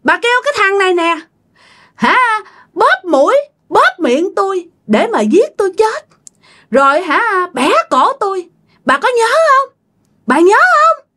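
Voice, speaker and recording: A woman voicing a vengeful, accusing ghost in a thin, shrill voice with a Southern Vietnamese accent, telling a ghost story. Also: big pitch swings, a little slow, pushed and bright, full character voice. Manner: accusing, curt, repetitive questioning. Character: vengeful ghost of a murdered grandchild possessing a man.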